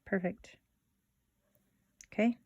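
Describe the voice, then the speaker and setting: A woman hosting a casual step-by-step craft tutorial in an informal, unhurried way, thinking aloud as she works. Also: darkish tone, dry recording, highs cut off.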